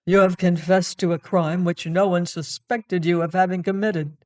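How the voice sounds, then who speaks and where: A reader voicing a stern older male board chairman who speaks in a formal, measured way. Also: medium pitch, steady tone, close to an everyday voice. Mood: grave.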